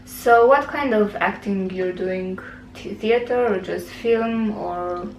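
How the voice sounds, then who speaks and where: A woman's voice: mid-range voice, slow pace. A young woman from Romania with a Romanian accent, hosting a casual interview and often nodding along with mm-hmms. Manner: friendly, frequent mm-hmms. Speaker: host, YouTuber. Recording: echoey room, faint background noise.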